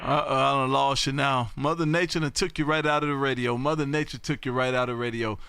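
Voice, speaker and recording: A man chatting and calling as an amateur radio operator on his live stream, loud, folksy and exuberant. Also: medium pitch, steady tone, fast talker, creaky, a little hiss or hum. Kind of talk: casual radio chat and calling. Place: home radio room.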